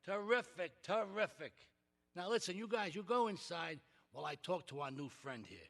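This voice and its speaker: An actor playing an older, expansive and domineering Mafia patriarch in a game cutscene, with an effusive, bossy manner. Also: male voice, high-pitched, expressive, moderate pace, vocal fry, a bit bright, full character voice. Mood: effusive, fatherly. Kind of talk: video game cutscene acting.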